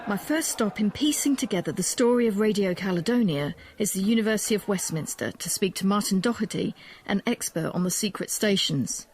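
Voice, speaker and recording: A woman presenting a documentary in measured, articulate scripted narration. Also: mid-range voice, lively intonation, darkish tone, slight background noise.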